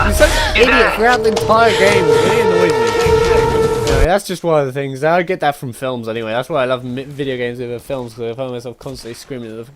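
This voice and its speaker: A young man giving a casual, rambling and opinionated video game review, animated and informal. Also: high-pitched. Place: voice-over on gameplay footage. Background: game music.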